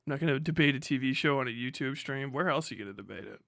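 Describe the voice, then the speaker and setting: A man's voice: mid-range voice, very fast, creaky, fairly bright tone, dry recording, studio quality. A man livestreaming in a casual, comic style, riffing animatedly with plenty of slang and swearing. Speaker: live streamer.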